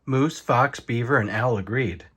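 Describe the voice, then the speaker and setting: A man reading a children's bedtime story aloud in an expressive narrating style. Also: mid-range voice, measured pace, breathy edge. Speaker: storybook reader.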